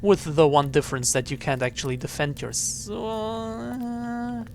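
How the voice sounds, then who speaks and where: A man's voice: sits high, level tone, measured pace, gravelly, slight background noise. A man giving casual livestream commentary on a game, rephrasing himself as he goes. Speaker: game streamer. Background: faint game music.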